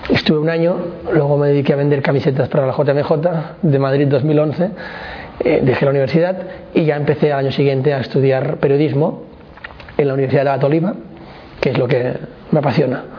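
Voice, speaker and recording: A man's voice: on the high side, fairly even intonation, fairly quick, highs cut off. A man in his twenties from Barcelona, telling his life story as an interview guest in a rambling way, with many restarts. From Barcelona, Spain. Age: 20s. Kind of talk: casual personal testimony.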